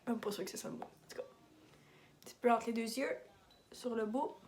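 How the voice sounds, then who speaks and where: A woman's voice: fairly high pitch, moderate pace, darkish tone, slight background noise. Chatty Québécois woman doing makeup. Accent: Québécois French. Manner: chatty, rambling asides with English makeup terms. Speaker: makeup vlogger. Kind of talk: casual makeup tutorial.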